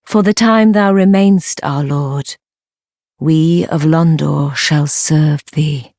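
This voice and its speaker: A woman playing a sly, devoted noblewoman in a dark fantasy game, speaking formal archaic English in a low, breathy, measured voice. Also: dry recording, clean studio sound, full character voice. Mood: courtly, grateful. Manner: measured, formal archaic speech, deferential yet commanding. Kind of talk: fantasy video game acting.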